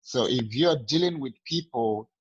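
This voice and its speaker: A man's voice: medium pitch, unhurried, breathy edge, no room sound, muffled recording. A man with a Nigerian accent, talking earnestly through sales advice in a casual group training session. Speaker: sales agent.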